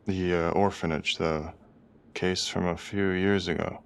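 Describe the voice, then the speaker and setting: A man playing a shaken forensic expert who speaks haltingly in careful, formal words in a dramatic video game. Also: low voice, fairly even intonation, gravelly. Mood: hesitant, awed. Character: shaken, precise male forensic expert, quietly awed and morbid.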